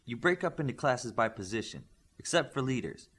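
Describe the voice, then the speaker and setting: A man's voice: mid-range voice, sing-song, a little fast, fairly bright tone. Male reader as stern team coach, audiobook, commanding, clipped orders, widely varied intonation. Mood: firm.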